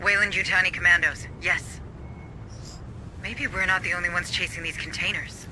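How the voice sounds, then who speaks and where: An actor playing a keen, wry guide who explains and advises a Marine squad in a video game. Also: male voice, high voice, fairly even intonation, normal speed, noisy background, natural voice. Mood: earnest.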